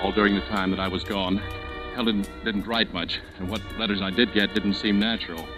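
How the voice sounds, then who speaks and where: An actor playing an anxious, protective young man from California in a 1940s radio drama, plain-spoken and halting, with music underneath. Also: lowish voice, fairly even intonation, lightly acted, low-quality recording. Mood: somber, regretful. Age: young adult. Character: anxious, protective young Californian brother telling his story.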